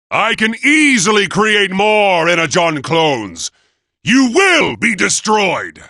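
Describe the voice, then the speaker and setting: Proud robot leader for a video game: high, sharp-edged, formal and grandiose. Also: male voice, dry recording, clean studio sound, heavily acted. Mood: imperious, defiant. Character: proud noble male robot leader, commanding and solemn. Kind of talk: theatrical video game voice acting.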